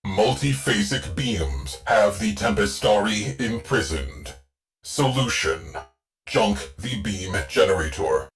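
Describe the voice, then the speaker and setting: A man's voice: fairly low pitch, animated, takes it slowly, fairly bright tone, faint music behind, full character voice, low-quality recording. A man voicing a solemn, battle-hardened shipboard artificial intelligence for a video game, speaking in clipped, formal fragments. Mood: urgent, commanding. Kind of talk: scripted video game character lines.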